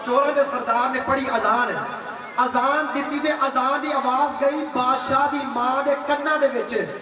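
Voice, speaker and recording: A man's voice: very high-pitched, steady tone, normal speed. A man preaching to a gathering, telling a religious story dramatically, acting out dialogue and repeating phrases for emphasis. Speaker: Islamic preacher. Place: large gathering with audience. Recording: echoey reverberant hall, distant mic, crowd.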